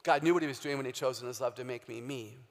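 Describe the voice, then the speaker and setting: A woman with a very low, deep voice giving an emphatic, humorous faith talk as a guest speaker, quizzing a live audience in a large hall. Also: quick pace. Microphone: handheld or stage microphone. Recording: long hall reverb, live stage talk.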